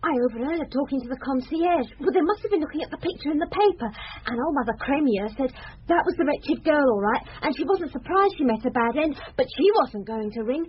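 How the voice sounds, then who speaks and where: Chatty young maid, very high voice, British accent, unpolished, forthright, muffled radio drama recording. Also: female voice, expressive, fast talker, slight background noise. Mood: earnest. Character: outspoken, earnest young servant girl.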